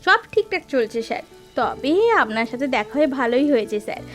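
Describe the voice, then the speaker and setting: A woman's voice: on the high side, animated, fast, faint music behind, close to an everyday voice. A woman voicing Puja, an earnest young village woman in a cartoon, talking respectfully to her teacher. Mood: eager. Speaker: village woman collecting funds.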